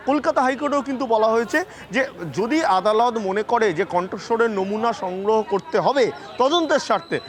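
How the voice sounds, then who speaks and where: A man's voice: very high-pitched, brisk. A man with a West Bengal Bengali accent giving an emphatic, unscripted live TV news report, often restarting and repeating phrases.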